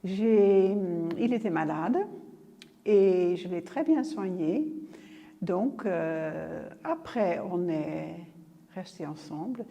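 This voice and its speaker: Older woman, mellow voice. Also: fairly low pitch, animated, slow, gravelly, dull audio, slight background noise. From Netherlands. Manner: reflective, with small hesitations. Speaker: interviewee, former nurse. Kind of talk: personal life story. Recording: reverberant room.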